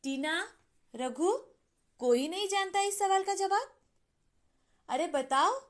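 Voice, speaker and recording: A woman's voice: very high voice, lively intonation, slow, voice pushed up, full character voice. A woman voicing a stern, dismissive female schoolteacher in a children's story, curt and demanding. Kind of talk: storybook dialogue.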